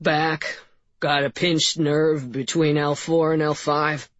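A woman's voice: low voice, flat delivery, takes it slowly, no room sound, muffled recording. A woman voicing a sullen, scruffy man in an audiobook, lazy and defensive, giving terse, grudging answers.

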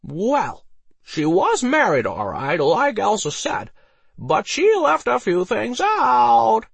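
A man voicing a drunken, mocking, defiant commoner in an audiobook, with a slurred, excitable drawl. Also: high-pitched, slow pace, vocal fry, breathy edge, no room sound, pitched above the performer's own voice, heavily acted. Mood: drunken, insistent.

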